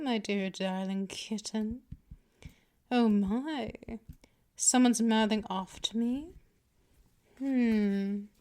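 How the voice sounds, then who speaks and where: A woman playing a dominant, patient female vampire mistress in an ASMR roleplay, teasing, menacing and condescending in a deliberate delivery. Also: a little high, a little mellow, clear, resonant tone. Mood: smug, menacing, then commanding. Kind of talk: ASMR roleplay, scripted monologue.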